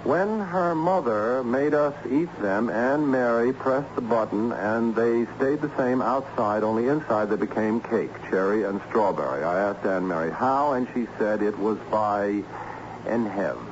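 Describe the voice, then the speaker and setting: An actor playing a harried, ironic assistant principal in an old-time radio drama, theatrical and patiently explanatory. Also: male voice, fairly low pitch, measured pace, close to an everyday voice. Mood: enthused, explanatory. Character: harried, excitable male school assistant principal with ironic humour. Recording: dull, noisy old radio recording.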